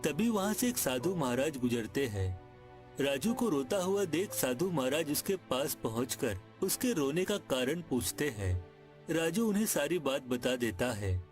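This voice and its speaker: A man's voice: medium pitch, darkish tone. A man narrating a scripted children's story.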